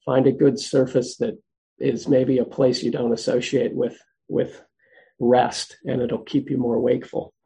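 A soft-spoken man teaches meditation, pausing often as he gently explains each posture. His low-energy voice is dark and mellow, with a gravelly edge at the bottom of his range. He talks at an easy, patient pace. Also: little pitch movement, poor audio. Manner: gentle, unhurried, with frequent pauses and fillers. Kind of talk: guided meditation instruction.

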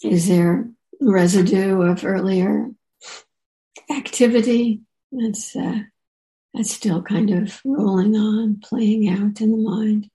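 A woman teacher leading a guided meditation in a soft, relaxed way, leaving gentle pauses. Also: medium pitch, poor audio.

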